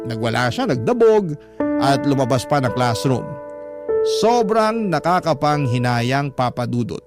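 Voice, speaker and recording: A man's voice: medium pitch, very animated, a little slow. A man with a Filipino accent narrating a first-person story for radio drama in an earnest, reflective way. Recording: prominent background music bed. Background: loud instrumental music.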